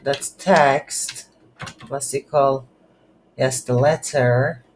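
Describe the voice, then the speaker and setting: Slow, halting coding tutor with background noise. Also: mid-range voice, fairly even intonation. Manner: halting, thinking aloud while typing. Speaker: game development tutorial instructor. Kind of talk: unscripted coding tutorial narration. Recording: noisy room with keyboard typing.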